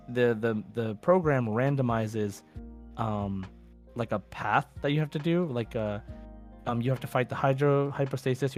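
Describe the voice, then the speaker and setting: A man's voice: medium pitch, darkish tone. A man hosting a podcast in casual chat, reading out listener messages with informal, goofy asides. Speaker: podcast host.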